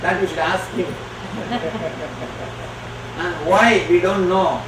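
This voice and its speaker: A man whose first language is Tamil, answering audience questions as a spiritual teacher, speaking slowly and emphatically. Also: high voice, steady tone. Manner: slow, emphatic and declarative. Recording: echoey hall from far off, noisy.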